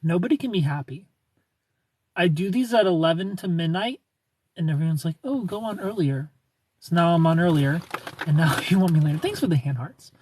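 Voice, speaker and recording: A man's voice: on the high side, animated, brisk, a little mellow, husky, poor audio. An American man hosting a live stream, casually reading and replying to comments in a joking, playful, informal way.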